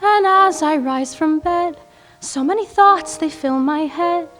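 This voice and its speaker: A woman's voice: very high voice, takes it slowly, heavily acted. An actress in a staged musical reading, playing a distraught scientist mother woken from cryo sleep, speaking and singing. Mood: hopeful, singing. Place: live theatre stage. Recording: echoey theatre stage, loud piano accompaniment.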